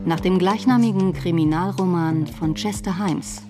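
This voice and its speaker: A woman's voice: lowish voice, moderate pace. A woman reading scripted radio drama credits as an announcer. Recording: guitar music behind.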